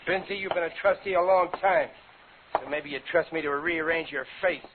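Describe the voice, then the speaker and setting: An actor playing a tough, cocky veteran safecracker with brash, slangy talk in a 1950s radio crime drama. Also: male voice, fairly high pitch, normal speed, natural voice. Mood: irritated, threatening. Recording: scratchy, noisy, narrowband 1950s radio recording.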